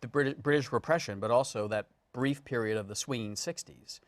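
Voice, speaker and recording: A man's voice: mid-range voice, a little fast, no echo. Man interviewing in a dry studio. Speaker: talk show co-host. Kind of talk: conversational interviewing.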